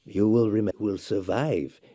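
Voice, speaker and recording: An actor playing a solemn, earnest male monk in a game, speaking slowly and gravely with a husky voice. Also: on the low side, mellow, dry recording, studio quality. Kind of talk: game voice acting.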